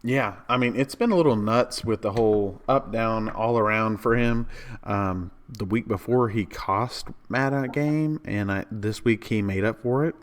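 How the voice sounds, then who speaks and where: A sick man with a hoarse voice, co-hosting a casual fantasy football podcast and chatting easily with plenty of fillers. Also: on the low side, measured pace. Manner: easygoing, lots of fillers. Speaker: podcast co-host. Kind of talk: casual chat.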